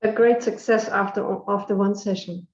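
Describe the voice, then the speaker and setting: A woman coach interviewing a client, asking gentle questions with pauses. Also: mid-range voice, fairly even intonation, reverberant, muffled recording. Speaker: coach and host.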